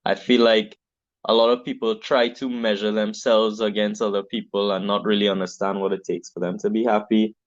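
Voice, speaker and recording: A calm, earnest man speaks as a guest on a podcast. His voice sits on the low side. The recording is dull and lo-fi, with a dry, close sound. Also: fairly quick, dry recording. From Trinidad. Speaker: business founder guest. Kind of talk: conversational interview.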